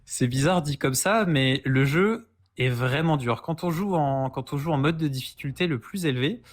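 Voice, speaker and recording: A man's voice: a little high, normal speed. A man chatting casually as a podcast guest, explaining retro games with keen interest. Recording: music playing behind the voice.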